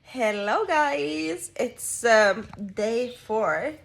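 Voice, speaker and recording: A woman's voice: on the high side, expressive, extremely slow, creaky, slight background noise. A woman with a Norwegian accent chatting playfully and casually with a friend in a vlog. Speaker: vlogger. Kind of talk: casual chat.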